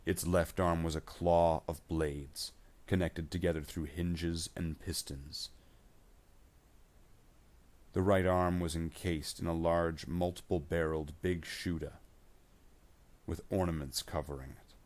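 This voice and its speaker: A man's voice: low-pitched, fairly even intonation, a little slow, pauses often, a little mellow. A man reading scripted science fiction battle narration.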